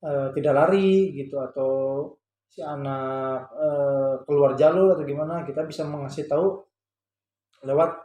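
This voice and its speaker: A man's voice: on the high side, normal speed, warm tone. A man chats casually as a vlogger, explaining a product in a hesitant, repetitive way. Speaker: vlogger and parent showing a product. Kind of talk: casual explanatory vlog chat. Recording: echoey room with faint background noise.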